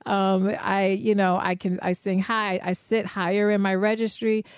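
A woman with a soft voice chats easily about life as a singer, piling on "you know" asides. The sound is dry and close, with no room echo, and her pitch sits in a middle range. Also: moderate pace, clear, resonant tone. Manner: animated, chatty, many "you know" asides. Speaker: singer and podcast co-host. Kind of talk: casual conversation.

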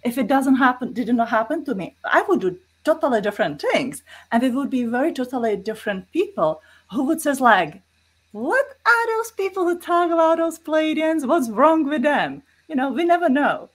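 A woman's voice: high voice, sing-song, moderate pace. A woman with a Czech accent, a guest in an interview, explaining her views earnestly with many fillers. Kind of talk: casual interview talk.

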